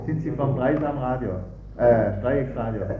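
A man making unscripted remarks in a halting, repetitive way, in a roomy recording with music behind. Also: medium pitch, a crowd in the background. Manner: halting, repeats himself. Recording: roomy, dull recording under loud music. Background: loud music.

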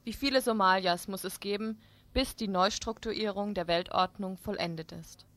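A woman presenting on radio, reading a scripted political commentary in a formal, measured manner. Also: medium pitch, a bit bright.

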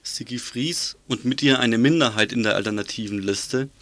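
A man's voice: mid-range voice, moderate pace, background noise. A man working as a radio journalist, reading a scripted political report and putting interview questions in a studio.

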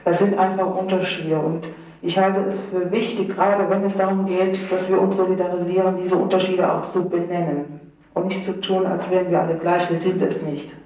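A woman from Germany making an earnest, measured argument in a group discussion, pausing to search for words. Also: lowish voice, little pitch movement, warm tone. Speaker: discussion participant. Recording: very echoey room, distant microphone.

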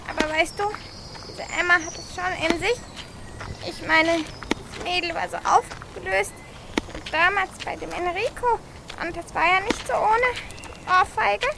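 A lonely old grandmother played by a woman in a youth radio play, with a very high voice. She chatters wistfully and clings to the people she dotes on. The recording is clearly noisy, with clicks. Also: exaggerated voice. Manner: wistful, chatty, clinging, addresses others as my child. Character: lonely, doting, fretful old granny dreaming of America.

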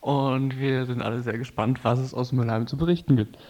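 A man's voice: mid-range voice, steady tone, brisk, darkish tone. A man hosting on radio, introducing things live to the listeners. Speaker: radio host. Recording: dull lo-fi radio recording.

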